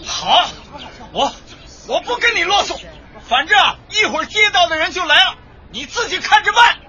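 An officious deputy director in a radio drama, insistent and scolding. His high voice swings sharply. The sound is muffled, with faint outdoor noise. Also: expressive, moderate pace, bright, forceful tone, a faint crowd, heavily acted. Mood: exasperated. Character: exasperated, officious deputy director of urban management.

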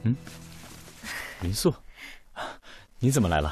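An actor playing an earnest, modest, bookish young engineer in a Chinese radio drama, polite and apologetic in manner. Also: male voice, on the low side, sing-song, a little slow, loud music behind the voice. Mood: pleasantly surprised. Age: young adult.

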